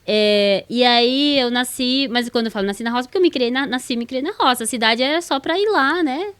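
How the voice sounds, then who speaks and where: A woman's voice: high-pitched, fairly quick, a bit bright, clean tone, no echo. A woman from Bahia, a slightly shy podcast guest telling her story and laughing easily. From Bahia, Brazil. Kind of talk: casual testimony interview.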